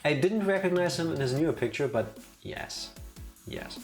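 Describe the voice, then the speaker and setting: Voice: medium pitch, expressive, normal speed, creaky, a little mellow. Playfully opinionated streamer chatting over music. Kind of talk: casual chat before a game stream. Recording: music playing behind the voice.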